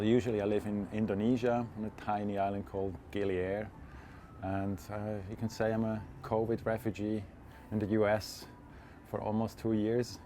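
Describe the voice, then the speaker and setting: A man's voice: on the low side, dark tone, a little hiss or hum. A man, talking casually as a guest in an interview about himself. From Switzerland. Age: 40s. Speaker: interview guest, freediving instructor.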